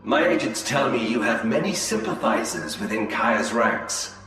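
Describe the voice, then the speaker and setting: An actor playing a cunning, treacherous alien elf spymaster in a video game, with a measured, theatrical delivery. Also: male voice, mid-range voice, animated, lightly acted. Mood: scheming. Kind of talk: video game cutscene acting. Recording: cavernous reverb, distant, over music.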